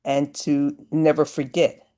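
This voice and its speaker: A woman's voice: deep voice, flat delivery, slow, warm tone. A woman giving earnest, emphatic feedback as an audience member on a video call. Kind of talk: earnest spoken comment.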